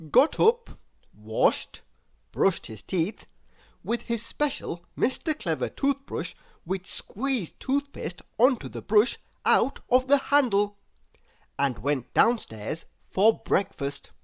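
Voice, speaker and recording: A woman reading a children's story aloud as an expressive narrator who speaks directly to young listeners. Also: medium pitch, slow pace. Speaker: storybook reader.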